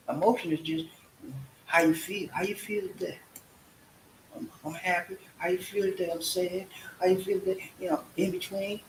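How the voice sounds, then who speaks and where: A man, hosting a casual livestream chat in a folksy, preachy way. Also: a little high. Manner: folksy, preachy, breaks into singing. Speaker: vlog host. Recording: echoey room with faint hiss.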